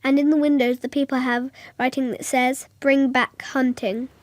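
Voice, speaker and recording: A woman plays a small schoolgirl with a high voice, narrating a radio drama. She is earnest and innocent, telling things in simple, literal childlike phrases, full of imagination. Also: flat delivery, moderate pace, no echo, natural voice. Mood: earnest, dreamy.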